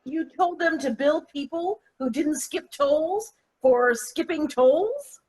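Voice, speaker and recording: A woman acting a prim, disapproving older mother who gently scolds her grown children in a stage-play table read. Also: high voice, lively intonation, slow, poor audio.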